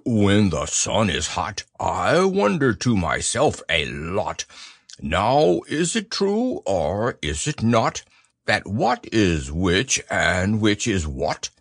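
A man voicing a slow, simple-minded, gentle male bear who stammers and hesitates. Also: on the low side, no echo, studio quality, full character voice.

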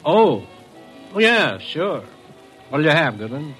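A man's voice: fairly high pitch, big pitch swings, slow, a bit bright, faint music behind, exaggerated voice. An actor playing a suspicious, bitter businessman and husband in an old radio drama, pointed and insistent. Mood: needling, suspicious.

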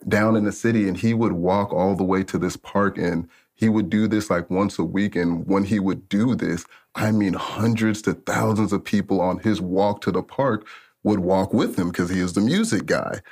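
A man in his late twenties from Africa, a studio owner telling his story in a relaxed, rambling way as a podcast guest, full of slang and fillers. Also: low-pitched, moderate pace, husky. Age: late 20s.

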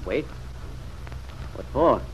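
An actor in an old radio drama playing a stubborn, bitter young war veteran with a sardonic, slangy way of talking. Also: male voice, extremely slow. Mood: cool, wounded. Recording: dull, noisy vintage radio recording.

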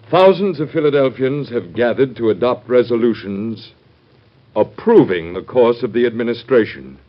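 An actor in an old-time radio drama playing a loyal government secretary with a formal, deferential manner. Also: male voice, mid-range voice. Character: courteous, loyal male government secretary, an adviser. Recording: dull vintage radio drama recording.

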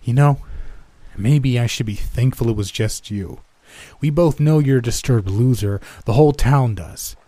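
A man's voice: on the low side, steady tone, normal speed, slightly rough, no echo. A man voicing a vile, sneering old diner owner who mocks and belittles. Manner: mocking, belittling, loud.

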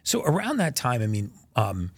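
A man hosting a podcast interview, casual and energetic, pressing home facts with emphasis. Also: mid-range voice, moderate pace, breathy edge, no room sound. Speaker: podcast host. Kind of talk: conversational interview.

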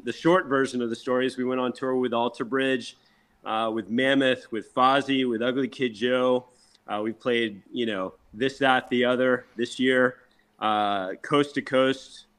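Guy from a rock band doing an interview, talks himself up, emphatic, medium pitched voice. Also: steady tone, normal speed, a bit bright, smooth. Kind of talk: casual interview talk.

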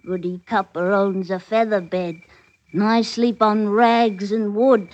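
An actress as slow-spoken scheming servant wench. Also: female voice, mid-range voice, fairly even intonation, a little mellow, lightly acted. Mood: bold, taunting. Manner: rustic, archaic dialect phrasing. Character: bold, scheming young servant wench, resentful and covetous. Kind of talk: old-time radio drama acting. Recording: muffled vintage radio recording.

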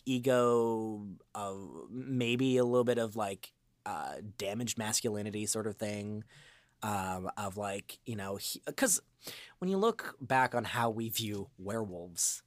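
A man's voice: lowish voice, unhurried, dry recording. A man giving a casual interview as a voice actor, talking in a hesitant, rambling way and drifting into asides. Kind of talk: casual, unscripted interview chat.

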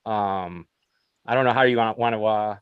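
A man's voice: lowish voice, moderate pace, smooth, no room sound, phone-quality sound. Hesitant man interviewer, dry sound. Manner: hesitant, filler-heavy with many "um" and "like". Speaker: podcast interviewer. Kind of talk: casual interview chat.

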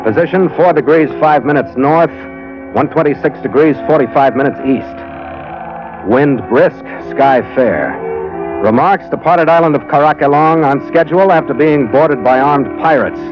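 A man's voice: fairly high pitch, moderate pace, lightly acted. Sea captain narrator, male actor, vintage radio adventure, clipped then lyrical, muffled sound with prominent music. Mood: brisk, matter-of-fact. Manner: clipped log entries, lyrical storytelling.

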